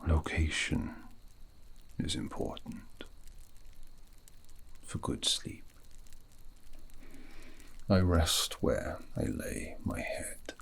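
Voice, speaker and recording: A man playing a gentle, wistful elven dream mage in an ASMR fantasy roleplay, murmuring slowly and poetically in a breathy, gravelly half-whisper. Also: extremely low pitch, animated, exaggerated voice. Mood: musing, gentle. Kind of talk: ASMR fantasy roleplay, soothing sleep guidance.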